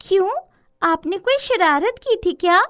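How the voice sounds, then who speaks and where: A woman's voice: extremely high pitch, lively intonation, unhurried, fairly bright tone, voice pushed up, exaggerated voice. A woman voicing an innocent, eager little village girl in a storybook tale, earnest and inquisitive. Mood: curious.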